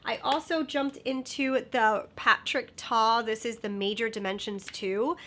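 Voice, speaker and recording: A young woman reviewing makeup in a chatty, bubbly way, her voice nasal and stuffed-up from a sinus infection. Her tone is bright and forceful, pitched a little above most women. She strings her thoughts together with plenty of casual fillers. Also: fairly even intonation, unhurried. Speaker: beauty vlogger.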